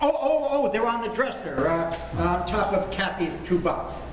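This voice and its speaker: A man's voice: high-pitched, lively intonation, moderate pace. Older man playing flustered family dad, old-time radio acting, grumbling, good-hearted, echoey stage recording. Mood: harried. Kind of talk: 1940s radio play acting. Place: live stage performance. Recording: roomy live stage, faint noise.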